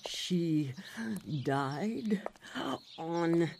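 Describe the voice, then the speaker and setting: Very slow, halting stroke-impaired woman. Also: on the low side, expressive, darkish tone, slight background noise, full character voice. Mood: subdued, labored. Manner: halting speech, word by word. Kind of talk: radio drama acting.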